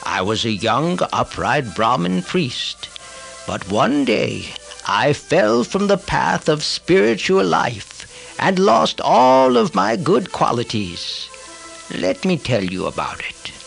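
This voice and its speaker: A man playing a remorseful fallen priest of ancient India in a solemn, theatrical audiobook monologue with a gravelly voice. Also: fairly high pitch, big pitch swings, slow pace, a bit bright, faint music behind, pitched above the performer's own voice, full character voice, low-quality recording.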